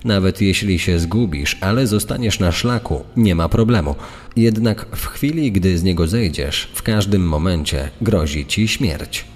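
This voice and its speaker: A man with a very deep voice reads scripted true-crime narration. A long hall reverb surrounds his words. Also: lively intonation, measured pace, a little mellow.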